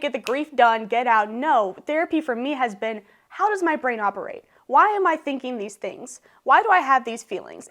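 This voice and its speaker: A thirty-year-old woman, chatting to the camera in her living room, emotional and laughing as she talks. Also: on the high side. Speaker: YouTuber. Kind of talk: casual vlog talk to camera.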